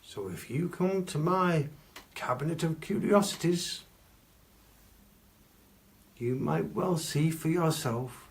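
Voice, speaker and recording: A man playing a pompous Victorian gentleman collector, telling macabre tales in a grandiloquent, theatrical way with dramatic pauses. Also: mid-range voice. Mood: grand, secretive. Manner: grandiloquent, archaic phrasing, dramatic pauses and stresses. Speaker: costumed storyteller. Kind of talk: theatrical live storytelling.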